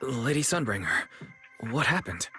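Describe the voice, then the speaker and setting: A man voicing a calm, inquisitive young male manager in a video game. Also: medium pitch, normal speed. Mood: puzzled, concerned. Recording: loud game music behind.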